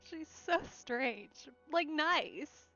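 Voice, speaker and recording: A woman's voice: high-pitched, expressive, extremely slow, sharp tone. A woman giving casual, amused and wry commentary as she streams a game. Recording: muffled, roomy mic with faint game music. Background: game music.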